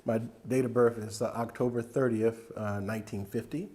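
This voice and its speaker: A man's voice: medium pitch, fairly even intonation, moderate pace, warm tone. A man in his seventies chatting about his age and family roots. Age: 70s. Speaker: oral history interviewee.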